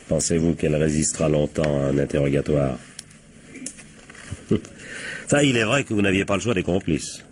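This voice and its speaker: A man playing a shrewd, ironic police captain who deliberately corners suspects in a French radio crime drama. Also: extremely low pitch, a little mellow, slight background noise. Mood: triumphant. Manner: deliberate, ironic, teasing rhetorical questions.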